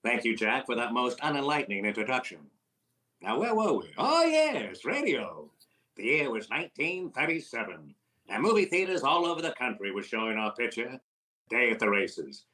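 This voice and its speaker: A man's voice: medium pitch, wildly swooping pitch, normal speed, pushed and bright. A man playing a sardonic, wisecracking vaudeville-style comedian in a 1930s radio comedy. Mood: wry, mocking.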